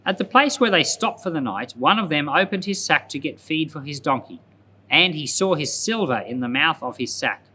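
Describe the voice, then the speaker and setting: Expressive man reading scripture aloud. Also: on the high side, normal speed, slight background noise.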